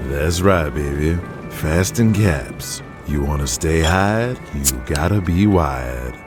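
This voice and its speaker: A man voicing a huge, laid-back wrestler in an audio drama, with a low, relaxed drawl. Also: slow, over music, exaggerated voice. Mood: wired.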